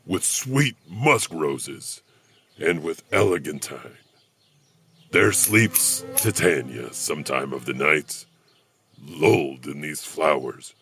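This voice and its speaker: A deep, gravelly, theatrical janitor storyteller played by a man in a horror audio drama. He is lonely and halting, with big swings in pitch and a slow pace. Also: pushed and bright, exaggerated voice. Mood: dreamy, reciting. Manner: theatrical, halting, chuckling between thoughts.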